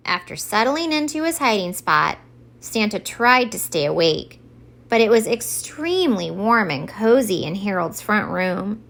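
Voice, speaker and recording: Animated woman reading a children's story. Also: medium pitch, a little slow, vocal fry. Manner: expressive. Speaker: story time reader.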